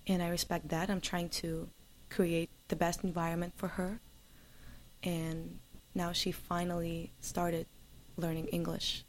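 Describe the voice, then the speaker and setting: A young woman with a Russian accent, a singer and interview guest, answering in an earnest, halting way. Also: fairly low pitch, little pitch movement, a little hiss or hum, rough recording. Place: Los Angeles area.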